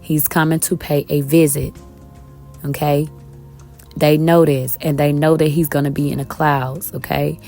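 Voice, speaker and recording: A woman with a raspy, slightly hoarse voice, teaching scripture to her viewers from home in a casual way, calling them y'all with many ums. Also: low voice, little pitch movement, a little slow, a little mellow, faint music behind. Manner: talks directly to viewers as y'all, with many um fillers. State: a little hoarse. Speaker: online Bible teacher. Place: at home with her children around.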